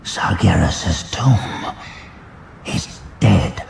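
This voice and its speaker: An actor playing a sly, resentful orc warlock in a fantasy audio drama, with a gravelly, hushed and guarded delivery. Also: male voice, deep voice, animated, drawn-out words, a little hiss or hum, exaggerated voice. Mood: wary, resentful. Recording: echoing voice over loud background music.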